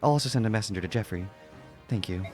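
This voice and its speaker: A man's voice: fairly low pitch, fast talker, a little mellow, soft background music, natural voice. A man voicing a composed, guarded noblewoman spymaster in a video game, formal and coolly defiant.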